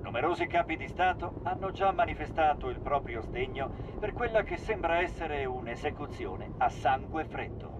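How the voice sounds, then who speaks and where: A formal male TV newsreader acted by a man, fairly high in pitch, reading a bulletin in game drama. The sound is dull, with clear vehicle noise behind. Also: expressive, normal speed, hardly any pauses, lightly acted. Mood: formal, grave. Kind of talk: scripted news bulletin.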